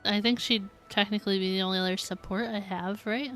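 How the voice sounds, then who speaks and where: A woman's voice: medium pitch, steady tone, a little fast, fairly bright tone. A woman giving casual live gameplay commentary, giggly and thinking aloud as she plays. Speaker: video game streamer. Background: game music.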